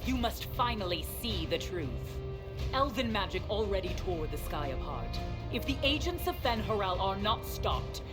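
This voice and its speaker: A woman's voice: medium pitch, very animated, normal speed, lightly acted. A woman playing a stern, menacing zealot spymaster in a fantasy video game, speaking in a formal, declamatory, accusing way. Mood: cold accusation. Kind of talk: dramatic video game acting. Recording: loud music behind.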